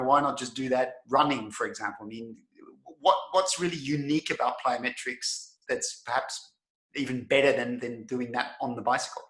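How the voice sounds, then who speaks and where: A man's voice: medium pitch, a bit bright. An Australian man hosting an interview, asking long, rambling questions with frequent restarts. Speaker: podcast host. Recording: echoey room.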